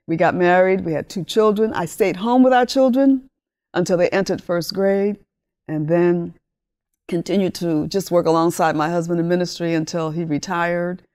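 A woman in her sixties, originally from Honduras with Spanish as her native language, speaks in a slightly low voice. She recounts her life with animated warmth, often breaking into laughter. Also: normal speed. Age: 60s. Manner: warm, animated storytelling with laughs. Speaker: guest, ministry leader. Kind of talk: interview talk.

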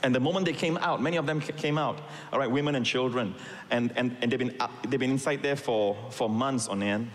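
A man's voice: medium pitch, fairly quick. A middle-aged man from Singapore, raised in Malaysia, preaching a sermon with emphatic asides and calls to the congregation. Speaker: pastor. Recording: long reverb in a large hall.

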